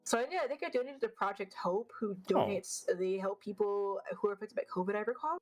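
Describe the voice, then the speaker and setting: A woman with a deeper, unfeminine voice talks quickly and bluntly in a relaxed interview. She swears casually and trips into a slight stutter now and then. Faint music sits in the background. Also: rough recording. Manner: fast, blunt, swears freely. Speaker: vtuber guest.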